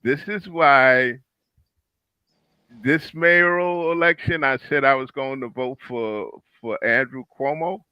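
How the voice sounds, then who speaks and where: A man's voice: mid-range voice, slow pace, clear, resonant tone, no room sound, lo-fi sound. A man in a heated panel discussion, speaking emphatically and repeating his phrases for emphasis.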